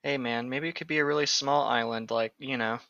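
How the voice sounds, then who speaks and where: Male co-host for teasing, slangy chat, bright tone, close dry lo-fi mic. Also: medium pitch, fairly even intonation, brisk, creaky, no room sound. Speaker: podcast co-host. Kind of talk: casual banter. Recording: dull lo-fi close microphone.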